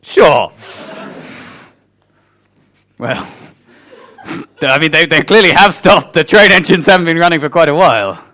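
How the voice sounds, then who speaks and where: A man's voice: a little high, animated, unhurried, pauses often, pushed and bright. A young man playing a brusque, scheming railway boss in an improvised comic radio play. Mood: smug. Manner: brusque, plotting.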